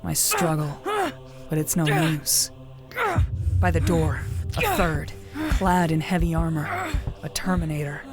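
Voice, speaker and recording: An actress playing a determined young warrior nun who narrates her own fight in a grave, measured way. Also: low voice, lively intonation, over music. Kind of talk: first-person scripted narration.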